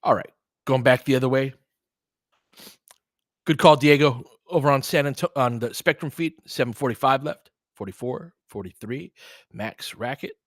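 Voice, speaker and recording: A man's voice: medium pitch, normal speed, no echo, studio quality. A man doing casual basketball play-by-play as a stream host, in clipped phrases with chatty asides to listeners.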